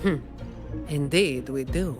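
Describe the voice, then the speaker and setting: A woman's voice: sits low, takes it slowly, over music. A woman voicing a shrewd, composed female fighter in a video game, with a cool, authoritative manner. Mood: analytical.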